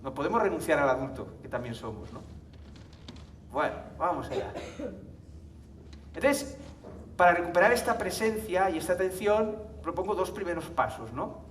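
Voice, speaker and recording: A man's voice: sits high, lively intonation, moderate pace, pauses often, slight background noise. A man from giving a live conference talk to an audience, chatty, often checking in with tag questions and laughing. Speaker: conference speaker. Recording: echoey hall, mic from far off.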